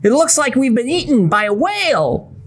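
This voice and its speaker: An eager, naive young fisherman played by a woman in a children's puppet show. The voice is fairly high and very animated, earnest and wide-eyed. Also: normal speed, a little hiss or hum. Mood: startled, puzzled.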